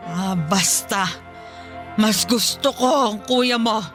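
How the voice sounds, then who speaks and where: Slow, querulous elderly mother. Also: medium pitch, fairly bright tone, soft background music. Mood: bitter, stubborn. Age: older. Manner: querulous, sighing, complaining. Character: bitter, fault-finding elderly mother, bedridden after a stroke. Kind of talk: Filipino radio drama acting.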